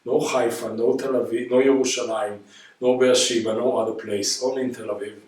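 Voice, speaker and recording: A man's voice: mid-range voice, fairly even intonation, fairly quick, darkish tone. A man with an Israeli accent giving a reflective talk on history, emphatic, with rhetorical questions and points built through repetition. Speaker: guest speaker. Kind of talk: reflective spoken lecture on history. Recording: echoey room, distant microphone.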